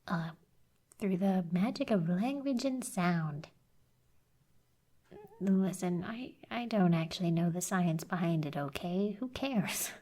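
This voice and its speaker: A woman voicing a teasing but caring young cactus girl in an ASMR roleplay, soft and slightly husky, blunt yet encouraging. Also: medium pitch. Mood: playful, teasing.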